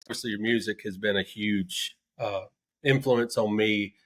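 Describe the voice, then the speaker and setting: A man's voice: on the low side, steady tone, normal speed, a bit bright. A man in his forties from Mississippi co-hosting a podcast, laid-back and enthusiastic, often saying "man". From Mississippi, United States. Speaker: podcast co-host. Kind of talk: casual podcast chat.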